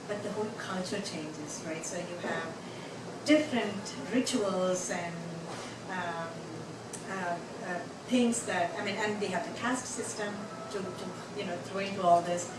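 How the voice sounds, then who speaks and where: A woman's voice: medium pitch, a little slow. A middle-aged woman with an Indian accent, telling a personal story on stage in a rambling, chatty way with many fillers. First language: Tamil. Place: bar venue. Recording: echoey venue, mic from a distance, noisy.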